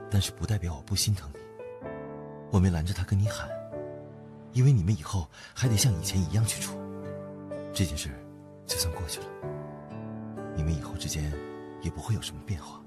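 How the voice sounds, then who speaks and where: A man playing a steady, protective older brother and boyfriend in an audio drama, calm, firm and coaxing. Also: low-pitched, lightly acted. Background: background music.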